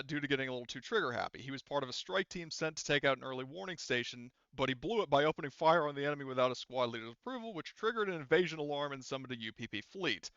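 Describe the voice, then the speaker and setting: Smooth-voiced, rambling male game master. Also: medium pitch, a bit bright, no room sound, lo-fi sound. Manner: rambling, informal, sweary. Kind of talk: casual, improvised tabletop game narration.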